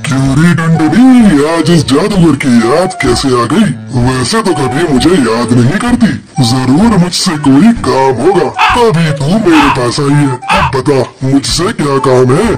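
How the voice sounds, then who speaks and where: A man's voice: a little high, lively intonation, a little slow, few pauses, music in the background. A man playing a teasing, commanding magician with a brusque manner in a children's cartoon fable. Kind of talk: Hindi cartoon story voice acting.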